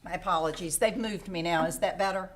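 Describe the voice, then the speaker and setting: A woman's voice: on the low side, fairly quick. A woman giving a work briefing as a program director on a conference call. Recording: conference audio with clear background noise.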